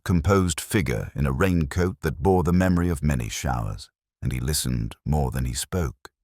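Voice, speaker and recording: A man narrating a radio mystery in a measured, dryly ironic storytelling manner. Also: sits low, no room sound, clean studio sound.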